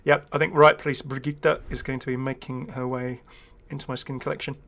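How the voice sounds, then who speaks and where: A man presents a gaming video in a casual commentary style. His voice sits at an average male pitch. He talks in a chatty, meandering way, as a host thinking out loud. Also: flat delivery, brisk, gravelly. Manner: rambling, chatty.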